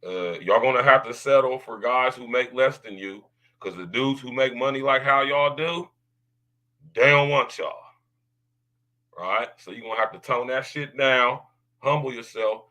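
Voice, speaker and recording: Male podcast host, deep baritone with a bright edge, loud drawling slang, keeps asking "right?". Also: normal speed. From United States. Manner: loud, drawling slang, repeats tag questions. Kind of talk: casual podcast monologue.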